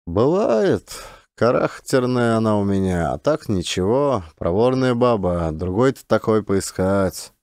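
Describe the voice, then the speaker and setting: Man as a meek, resigned peasant, softly mellow. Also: fairly low pitch, lively intonation, measured pace, no echo, studio quality. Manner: simple folksy rural speech, mild, resigned. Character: small thin meek forgiving peasant forester, long-suffering husband.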